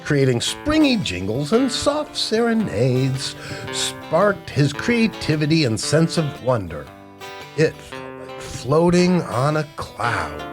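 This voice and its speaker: Animated man reading a storybook to children. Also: fairly high pitch. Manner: expressive, drawn-out emphasis on key words. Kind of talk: animated picture-book read-aloud for children. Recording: music playing behind the voice. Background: guitar music.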